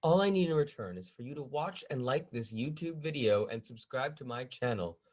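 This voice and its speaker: Guy reading a pushy witch high priestess, sweet but bossy, sinister, script table read. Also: lively intonation, fairly quick, a little mellow, lightly acted. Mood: coaxing.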